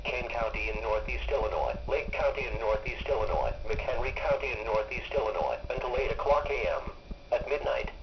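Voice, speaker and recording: An American man's synthetic-sounding voice reading a scripted emergency weather alert in a formal, impersonal way. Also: mid-range voice. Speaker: weather radio alert announcer. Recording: muffled, noisy weather radio broadcast, echoey.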